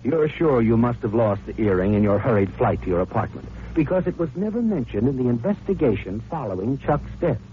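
A man's voice: medium pitch, lively intonation, slight background noise, lightly acted. A man playing a knowing, accusing narrator of an old-time radio mystery, dramatic and insinuating. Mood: ominous, knowing. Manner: ominous, knowing, deliberate. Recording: tinny old radio recording with music. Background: music.

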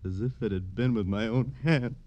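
An actor playing a guilt-ridden, broken man in an old radio crime drama, fragile and halting. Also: lowish voice, a little mellow, muffled recording, natural voice. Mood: tearful, guilt-stricken. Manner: halting, anguished. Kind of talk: 1950s radio drama acting.

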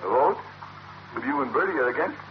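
A man's voice: medium pitch, expressive, fast talker, natural voice. An actor playing a pompous, blustering middle-aged American family man in a 1940s radio comedy. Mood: blustering, insistent. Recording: muffled, noisy old radio recording.

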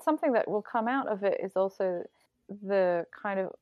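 A young woman from Bali, a guest speaking thoughtfully in a casual conversation, pausing to choose her words. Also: medium pitch, no echo, phone-quality sound. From Indonesia (Bali). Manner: reflective, thoughtful, with hesitations.

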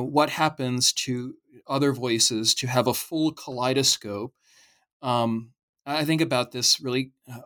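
A man asking long questions in an academic podcast interview. He talks hesitantly, circling and restarting as he thinks aloud. His voice sits at an ordinary middle pitch. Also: a bit bright. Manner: hesitant, many restarts and fillers. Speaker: podcast host interviewing an author.

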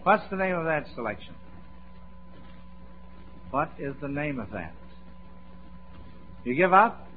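A man's voice: a little high, sing-song, takes it slowly, long pauses, a little hiss or hum. An American man hosting an old-time radio quiz, folksy and encouraging, with approving phrases he tends to repeat. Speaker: quiz show host. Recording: muffled vintage radio broadcast recording.

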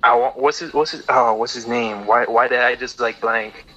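A dull, lo-fi voice connection carries an American man with a very forceful, sharp tone. He chats casually about sports in a middle-pitched voice, as one fan among several. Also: moderate pace. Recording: dull band-limited voice chat audio.

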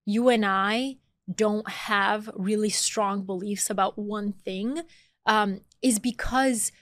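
A woman in her thirties talks loosely and warmly, with lots of "like" fillers and ready laughter. Her voice sits at a middle pitch. The recording is dry and close, with no room sound. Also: steady tone, slow, no echo. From born in Bulgaria, raised in Atlanta, Georgia. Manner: chatty, frequent "like" fillers, laughs easily. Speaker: author and podcast guest. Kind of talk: casual conversational interview.

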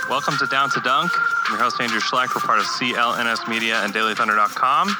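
A man hosting a sports podcast, asking questions in a relaxed, easygoing way and nodding along with short replies. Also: mid-range voice, fast talker, over loud music. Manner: easygoing, asks with short affirmations. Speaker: podcast host. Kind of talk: casual sports interview.

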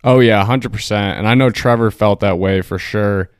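A man with a lowish, smooth and resonant voice hosts a podcast. The sound is dry and close, and he talks in easygoing, agreeable banter with his guest. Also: fairly even intonation, moderate pace, dry recording. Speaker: podcast co-host. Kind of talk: casual podcast chat.

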